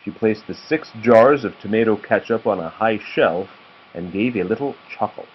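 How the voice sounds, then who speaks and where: A man's voice: on the low side, normal speed. A man reading a children's story aloud as a father does, patiently stopping to explain words and answer questions. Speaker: father reading a picture book to his children. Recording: dull home recording with faint noise.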